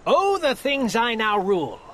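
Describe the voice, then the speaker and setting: A woman's voice: sing-song, slow pace, slight background noise. A woman voicing a boastful, imperious male turtle king in a rhyming storybook. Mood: gleeful, boastful. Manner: imperious, booming exclamations.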